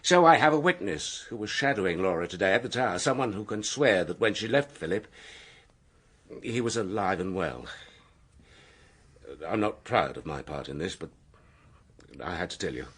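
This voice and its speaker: Contrite British husband, radio drama: very low, husky, halting, apologetic. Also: male voice, animated, fairly bright tone, exaggerated voice. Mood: ashamed, confessing. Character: subdued, ashamed, hesitant British husband. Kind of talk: BBC radio drama acting.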